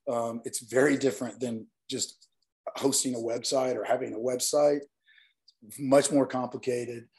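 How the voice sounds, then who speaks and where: A hoarse man from Northeast Alabama, a guest talking earnestly and at length in an interview. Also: mid-range voice, darkish tone. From Northeast Alabama, US South. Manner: earnest, rambling explanations. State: hoarse, partly lost voice. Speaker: guest, nightlife event promoter. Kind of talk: casual interview talk.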